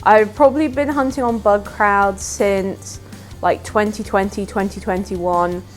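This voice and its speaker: A woman's voice: medium pitch, fairly even intonation, a little slow. A woman bug bounty hunter chatting casually, with lots of "like" fillers. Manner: friendly, chatty, many "like" fillers. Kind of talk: casual interview answers. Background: faint music.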